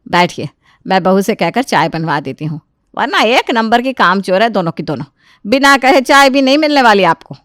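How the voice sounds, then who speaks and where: A woman's voice: mid-range voice, lively intonation, moderate pace, fairly bright tone, dry recording, studio quality, lightly acted. A woman voicing a bossy, scolding, self-important mother-in-law in her sixties for an audio family story. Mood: indignant. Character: bossy nagging old mother-in-law, aged sixty-five.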